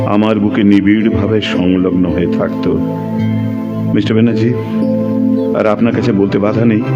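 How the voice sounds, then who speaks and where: A man playing a lovestruck, brooding narrator of a secret romance in an audio drama, with poetic phrasing and pauses. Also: fairly low pitch, dull audio, lightly acted.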